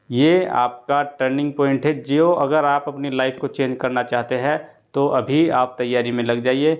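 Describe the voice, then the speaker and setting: A man's voice: mid-range voice, dull audio. A man giving students an informal, explanatory update, repeating key points as he advises them. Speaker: exam coaching presenter.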